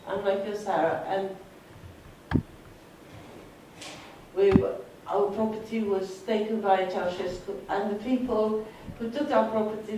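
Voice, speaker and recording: A woman in the audience giving an earnest, moved comment to filmmakers. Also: fairly low pitch. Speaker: audience member. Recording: echoey hall, distant, some noise.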